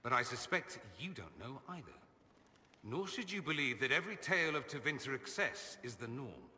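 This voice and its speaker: A man's voice: medium pitch, big pitch swings, normal speed, pushed and bright, in a large hall, a little hiss or hum. An actor playing a witty, vain male mage nobleman who talks in a sardonic, self-amused drawl. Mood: wry. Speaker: companion character. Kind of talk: video game dialogue.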